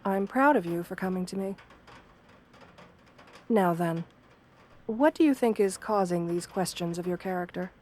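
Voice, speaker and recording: A woman voicing a sweet, professional wolf-girl therapist in a soft-spoken ASMR roleplay, speaking in a gentle, measured, reassuring way. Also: on the low side, slight background noise, lightly acted. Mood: understanding, supportive.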